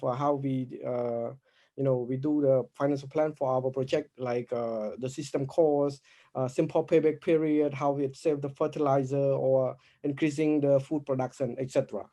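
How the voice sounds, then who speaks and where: A man presents his part of a team project pitch. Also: steady tone, normal speed, mellow.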